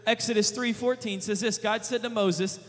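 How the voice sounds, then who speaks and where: A man preaching a sermon, emphatic, repeating rhetorical questions and paraphrasing scripture in lively retellings. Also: sits high, normal speed, big-room sound. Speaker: youth preacher.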